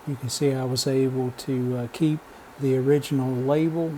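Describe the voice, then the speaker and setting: A man narrating a vintage radio repair as a relaxed how-to voice-over, matter-of-fact and unhurried, with frequent "uh" pauses. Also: mid-range voice, a little hiss or hum, low-quality recording. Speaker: radio restoration hobbyist.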